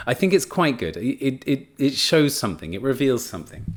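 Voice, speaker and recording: A man's voice: mid-range voice, very animated, fairly quick. A man presenting a research study in a casual spoken lecture, chatty and enthusiastic, with frequent fillers and restarts. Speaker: teacher trainer presenting a study.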